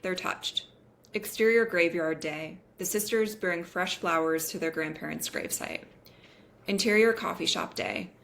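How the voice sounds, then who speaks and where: Woman reading script stage directions, lowish voice, table read narration. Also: unhurried, vocal fry, a bit bright.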